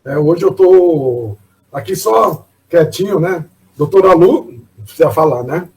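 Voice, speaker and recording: A man's voice: on the high side, animated, unhurried, darkish tone, poor audio. A man hosting a talk show, chatty and often ending his phrases with tag questions.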